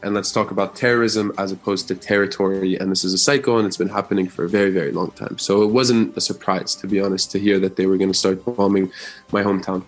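Young man, low flat earnest voice. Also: soft background music. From Gaza Strip. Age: young adult. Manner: earnest, measured, with fillers. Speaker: interview guest. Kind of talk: remote interview answers.

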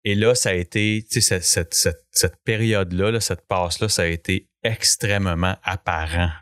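A man's voice: low-pitched, steady tone, normal speed, a bit bright, no room sound, clean studio sound. A man with a Québécois French accent, hosting a casual chat and often stammering as he searches for his words. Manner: hesitant, stammering restarts. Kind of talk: casual conversation.

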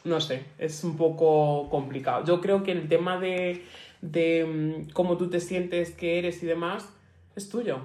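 A woman's voice: on the low side, a little slow. A young woman from Spain, co-hosting a casual podcast chat and telling stories with lots of laughter. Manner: animated storyteller, laughs a lot, colloquial. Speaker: podcast co-host. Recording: echoey room with faint noise.

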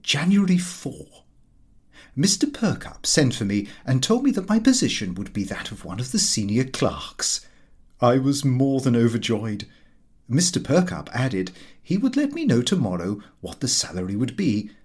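Animated, husky male narrator. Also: medium pitch, moderate pace. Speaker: audiobook reader. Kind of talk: expressive narration of a comic diary.

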